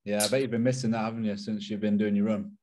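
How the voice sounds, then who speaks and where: A man's voice: lowish voice, flat delivery, rapid-fire, dark tone, slight background noise. A young adult man co-hosting a casual football podcast, chatty and laid-back with fillers. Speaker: podcast co-host. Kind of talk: casual chat.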